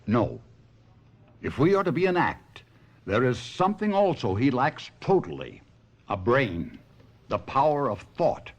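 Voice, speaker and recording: Anxious ventriloquist, swooping pitch, radio drama. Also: male voice, big pitch swings, measured pace, pauses often, husky, highs cut off. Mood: alarmed, doubtful. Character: troubled male stage ventriloquist in debt, anxious, sentimental. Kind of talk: 1970s radio mystery drama acting.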